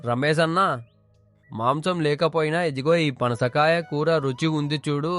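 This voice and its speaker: A friendly village food lover from a cartoon moral tale, played by a man. His voice is on the high side, effusive and respectful, with music behind it. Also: normal speed, fairly bright tone, natural voice. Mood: delighted. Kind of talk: animated moral story dialogue. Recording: music under the voice.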